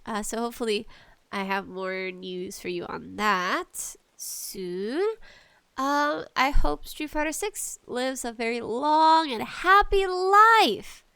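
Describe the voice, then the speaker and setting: A woman hosting a casual gaming podcast, talking solo in a slangy, conversational way with animated asides. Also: a little high, slow, clear, resonant tone, no room sound. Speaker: podcast host.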